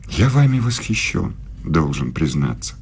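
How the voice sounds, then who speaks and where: An actor in a radio play voicing a proud, aggrieved older German philosopher, formal, measured and self-justifying. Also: male voice, extremely low pitch, very animated, darkish tone, husky, full character voice. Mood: formal, pleading. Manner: measured, formal, deliberate speech.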